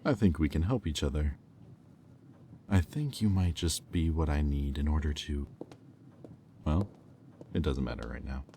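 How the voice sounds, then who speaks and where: A man playing a smug, predatory demon in a roleplay audio drama, teasing and taunting in a silky manner with deliberate pauses. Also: rumbling low, dark tone, a little hiss or hum. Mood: commanding, seductive. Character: smug, predatory male demon toying with a captive angel.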